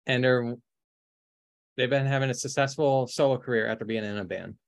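A man's voice: mid-range voice, fairly quick, vocal fry, clean tone, no echo. Upbeat man hosting a casual quiz. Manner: upbeat, joking, gives hints. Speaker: quiz host.

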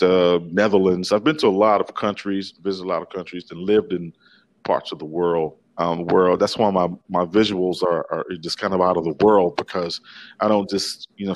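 A man from the Washington, D.C. area, a filmmaker talking casually in an interview, rambling with many restarts and fillers. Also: low-pitched. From Washington, D.C. area, USA. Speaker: filmmaker guest. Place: remote call from Italy.

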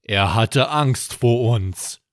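A man voicing a slow, honey-loving cuddly toy bear in a children's bedtime story, humming before he speaks. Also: medium pitch, no echo, studio quality. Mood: calm, dreamy.

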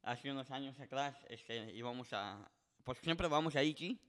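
Rambling twenty-something host. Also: male voice, medium pitch, moderate pace, a bit bright. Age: 20s. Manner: rambling storyteller, many filler words, switches between Spanish and English. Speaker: podcast host. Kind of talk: casual bilingual chat.

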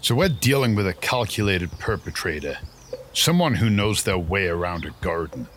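Man acting a low, hard-boiled detective. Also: animated, normal speed, fairly bright tone, slight background noise. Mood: wry, grave. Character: wry, hard-boiled male police detective. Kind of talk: comic radio mystery acting.

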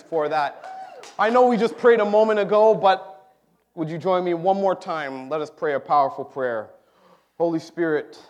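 A man preaching a sermon in a church, animated and joking with the congregation. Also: on the high side. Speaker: pastor. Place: church sanctuary.

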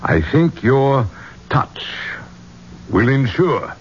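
An actor playing an obsessed, superstitious gentleman archaeologist in a 1970s radio drama, with a grandiloquent, courtly way of speaking. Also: mid-range voice, very animated, drawn-out words. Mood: earnest, pleading. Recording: dull, noisy 1970s radio recording.

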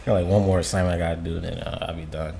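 A man's voice: low-pitched, little pitch movement, very fast, vocal fry, over a noisy crowd. A young college student co-hosting a casual podcast. He chats in an easygoing, slangy way and chuckles a lot. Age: young adult.

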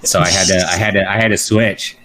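Man, low voice, casual gaming chat, animated storyteller, slangy, sweary, faint background noise. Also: fast.

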